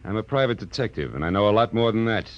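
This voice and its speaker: An actor playing a hard-boiled, sardonic American private detective in 1940s radio crime drama style, with terse, tough talk. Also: male voice, on the low side, lively intonation, fast talker, lightly acted. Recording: muffled old radio recording, loud music.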